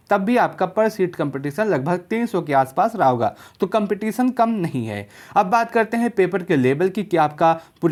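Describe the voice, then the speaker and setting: A woman's voice: mid-range voice, darkish tone. A woman presenting an informal exam analysis to students, explaining things and repeating key points for emphasis.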